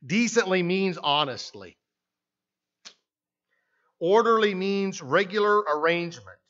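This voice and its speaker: An older Canadian man preaches in a church sanctuary, his voice sitting high for a man. He talks in a loose, conversational way, restarting phrases and checking in with "right?", often walking away from the podium mic. The recording is a little dull and lo-fi. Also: lively intonation, slow, a bit bright. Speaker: pastor. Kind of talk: sermon. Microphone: podium mic, speaker often walking away from it.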